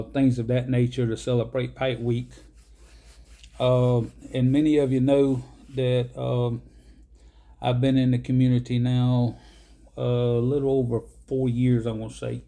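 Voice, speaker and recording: A man's voice: mid-range voice, warm tone. A man, casually rambling through a show-and-tell vlog with many ums and uhs. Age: 50s. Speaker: pipe-smoking vlogger.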